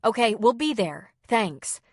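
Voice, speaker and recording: A woman's voice: on the high side, sing-song, unhurried, husky, dry recording, clean studio sound, pitched above the performer's own voice, full character voice. A woman voicing a practical adult woman who gives firm, urgent instructions.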